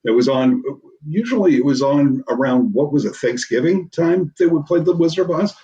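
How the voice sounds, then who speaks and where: A man's voice: on the high side, animated, fairly quick, far from the mic, highs cut off, a crowd in the background. A man who works as a voice actor, chatting casually and telling anecdotes in a group conversation.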